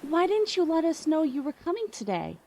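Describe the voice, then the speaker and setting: Woman acting kindly chatty wife, high voice that swoops around, old radio play style. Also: big pitch swings, a little fast, dark tone. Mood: cheery, welcoming. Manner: gracious, chatty. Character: cheery, kindly married woman hosting a young guest.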